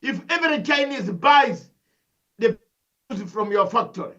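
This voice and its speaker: An older Ugandan-accented man with a very high, bright, forceful voice, lecturing with fervour and piling up rhetorical questions. He comes through a dull, lo-fi remote link. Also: animated, a little slow. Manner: emphatic, preachy, full of rhetorical questions and repetition. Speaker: analyst guest. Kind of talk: impassioned interview commentary.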